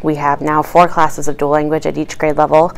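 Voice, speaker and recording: A woman teacher answering interview questions in a relaxed way, with fillers. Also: deep voice, fairly quick, creaky, smooth, rough recording.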